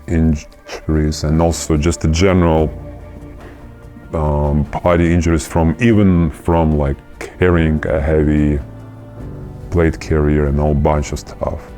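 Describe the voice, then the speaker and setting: A man, a soldier, speaking haltingly with many fillers in an interview. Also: very deep voice, flat delivery, dark tone, faint music behind. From Ukraine, raised in Canada. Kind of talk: interview testimony.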